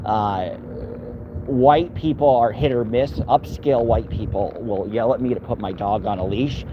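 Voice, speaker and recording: A man's voice: fairly low pitch, unhurried, lo-fi sound, background noise. A man walking his dog outdoors on city streets, chatting casually to his live-stream viewers and his dog in a rambling way.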